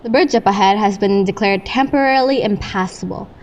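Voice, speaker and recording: A woman's voice: mid-range voice, fairly quick, highs cut off, slight background noise, natural voice. A woman voicing a male state trooper in a school radio play, speaking in a dry, matter-of-fact way. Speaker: student actor.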